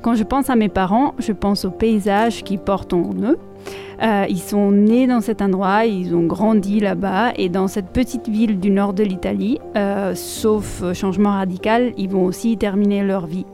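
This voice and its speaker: A woman with an Italian accent reads a passage and comments on it in an unhurried, reflective way. Her voice is mellow and low in brightness. A faint bed of music sits behind her. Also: steady tone. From Italy. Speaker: podcast columnist. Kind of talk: reading aloud and commentary.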